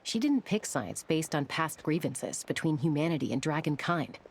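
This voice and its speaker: Calm low-voiced scout lady, lively tone, telling old stories, game music. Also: moderate pace, creaky, husky, full character voice. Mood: recounting. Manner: steady, storytelling. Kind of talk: video game dialogue. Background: game soundtrack music.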